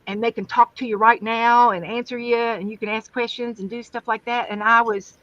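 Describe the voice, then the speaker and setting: A woman's voice: a little high, little pitch movement, normal speed, fairly bright tone, no echo. A woman with a West Virginian accent, telling rambling stories in a casual livestream chat from her home craft room. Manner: chatty, rambling storyteller. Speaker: guest crafter and streamer. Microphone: livestream camera setup. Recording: dull, dry sound.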